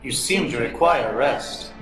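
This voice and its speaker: A man playing a polite artificial intelligence overseer in an audio drama, speaking formally and with measured courtesy. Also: medium pitch, animated, echoey room, music in the background, natural voice. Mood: formal concern.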